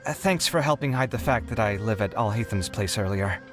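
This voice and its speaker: A man's voice: on the low side, lively intonation, brisk, over music, close to an everyday voice. A man playing a harried young inventor in a video game, dramatic and put-upon but kind-hearted, with an exasperated manner. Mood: explanatory. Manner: exasperated, theatrical, sighing. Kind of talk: animated video game character acting.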